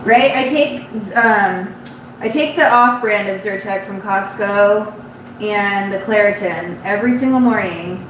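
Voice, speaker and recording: A woman's voice: medium pitch, measured pace, distant mic. Congested woman chatting in an echoey room. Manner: chatty and rambling, with frequent restarts. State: congested, runny nose. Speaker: embroidery shop owner. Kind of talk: casual live-stream chat while sewing. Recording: very echoey room, voice off-mic, audible background hum.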